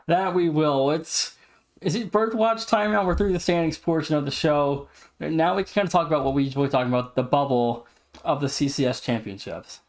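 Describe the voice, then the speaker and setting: A man's voice: fairly high pitch, a little fast. A man co-hosting a sports podcast, casually running through standings and team updates. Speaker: podcast co-host.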